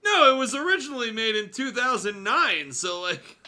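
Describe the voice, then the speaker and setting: A man's voice: extremely high pitch, very animated, normal speed, sharp tone. A man hosting a livestream read-aloud, talking casually with amused, profane asides. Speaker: streamer hosting a fanfiction reading.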